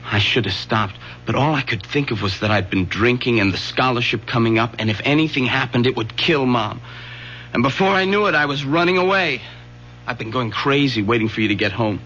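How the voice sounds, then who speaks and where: A man playing a scared, guilty young son in a radio drama, agitated and halting. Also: medium pitch, expressive, bright, forceful tone. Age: young adult. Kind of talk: 1970s radio drama acting. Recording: dull, slightly noisy old radio recording.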